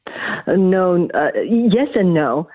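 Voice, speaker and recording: A woman's voice: lowish voice, lively intonation, slow, dry recording. A middle-aged woman from Malaysia, an academic guest answering interview questions, hesitant with many restarts. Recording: tinny phone line.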